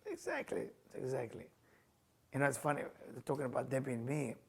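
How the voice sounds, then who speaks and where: A middle-aged man with an Israeli accent, hosting a casual chat and telling personal stories in a playful, animated way, often laughing as he talks. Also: medium pitch, a little fast, breathy edge. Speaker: spiritual teacher and podcast host.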